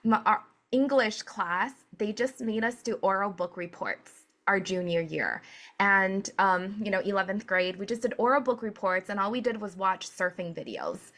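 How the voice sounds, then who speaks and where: An energetic woman from Hawaii, a business coach and author, answering interview questions earnestly. She laughs often as she talks. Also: medium pitch, normal speed.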